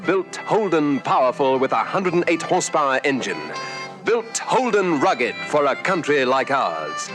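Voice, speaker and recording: A man's voice: fairly high pitch, big pitch swings, unhurried. A man reading a 1960s radio commercial in a punchy, emphatic way. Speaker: advert announcer. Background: music.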